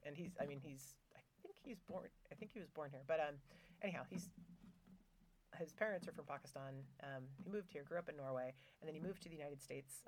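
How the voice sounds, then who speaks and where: A woman's voice: sits low, slight background noise. A woman guest on a podcast, telling stories in a chatty way with lots of fillers and wry asides. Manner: chatty storytelling, many fillers, wry humor. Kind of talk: conversational podcast interview. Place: Norway.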